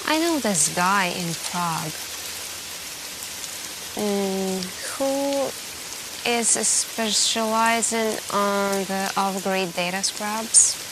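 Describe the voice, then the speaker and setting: A woman playing a shaken, secretive hacker friend in a soft-spoken ASMR roleplay, protective and hesitant as she confides. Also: medium pitch, background noise. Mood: urgent, secretive. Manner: hesitant, halting, hushed and confiding.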